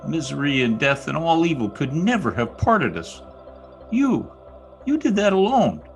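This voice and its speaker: Male actor as anguished bitter lover, theatrical radio drama delivery, highly animated intonation, music underneath. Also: a little slow. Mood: bitter, reproachful. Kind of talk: old-time radio drama acting. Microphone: recorded remotely over video call.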